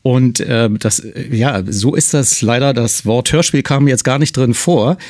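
Male radio host, medium pitch, conversational moderation. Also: measured pace, a little mellow.

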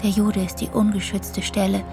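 A woman's voice: mid-range voice, flat delivery, normal speed, over music, close to an everyday voice. A woman reciting scripted radio-play lines in a declarative way.

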